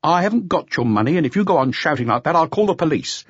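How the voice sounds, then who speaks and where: Tough café owner, used to fights, calm and controlled, put on by a male reader. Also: fairly high pitch, fast, husky, no room sound, lo-fi sound, pitched above the performer's own voice. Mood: firm, curt.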